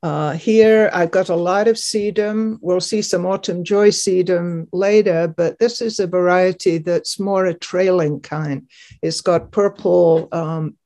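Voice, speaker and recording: An older woman gives an informal tour narration in an unhurried, slow voice. She sounds chatty and a little hesitant, as if talking through slides. She is indoors on a computer microphone in a video call. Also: fairly low pitch, steady tone, dark tone. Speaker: gardener giving a garden tour.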